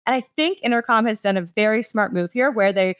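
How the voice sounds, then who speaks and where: A woman's voice: a little high, brisk, clear, resonant tone. Enthusiastic woman presenting conversationally. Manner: enthusiastic, explanatory, casual phrasing with "like" and "super". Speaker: podcast panelist presenting a case study.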